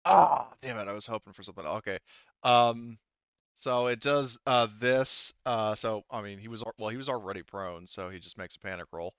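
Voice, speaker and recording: Low, smooth-voiced man, halting game master. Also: a bit bright, no room sound, lo-fi sound. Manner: hesitant, halting, thinking aloud. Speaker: game master of a tabletop roleplaying game. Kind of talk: casual unscripted game narration and rules talk.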